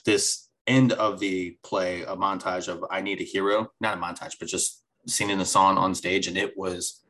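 A man's voice: sits low, steady tone, normal speed, sharp tone. A man on a podcast recapping a TV episode in casual chat, rambling with many fillers and restarts. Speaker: podcast co-host.

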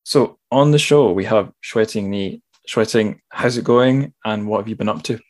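A man's voice: fairly low pitch, normal speed, a little mellow, faint music behind. A man hosting a podcast in a casual, chatty way, with self-deprecating, rambling asides. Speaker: podcast host. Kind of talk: casual spoken podcast intro and chat.

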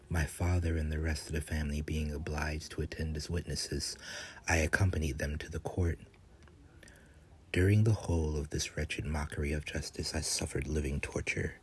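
A man giving a measured, solemn reading in the style of a gothic audiobook. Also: a bass voice, slight background noise. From United States, Louisiana. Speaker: guest reader, horror writer.

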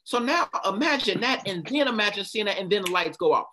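A man's voice: sits high, lively intonation, brisk, pushed and bright, slight background noise. A man giving a passionate motivational talk, stopping often to ask his listeners questions. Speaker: speaker leading a group talk.

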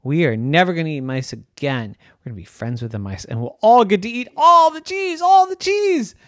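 A man's voice: medium pitch, animated, dry recording, studio quality, natural voice. A man voicing a sweet, sly female house cat with a sugary, coaxing manner. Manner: sugary, coaxing, drawn-out words.

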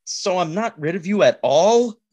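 A man's voice: high-pitched, animated, normal speed, darkish tone, dull audio. A man hosting a podcast in scripted comedic banter, sounding exasperated. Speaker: podcast host.

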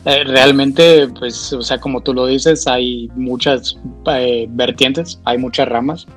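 A man with a Guatemalan accent hosting a conversational interview, feeling his way haltingly through questions and repeating words. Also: mid-range voice, fairly even intonation, soft background music. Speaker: host, nutritionist.

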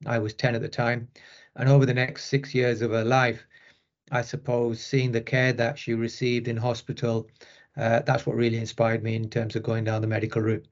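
A man's voice: mid-range voice, monotone, moderate pace, lo-fi sound. A middle-aged man from Rochdale with a Northern English accent, answering interview questions conversationally. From Rochdale, northern England. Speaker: interview guest, sports medicine consultant.

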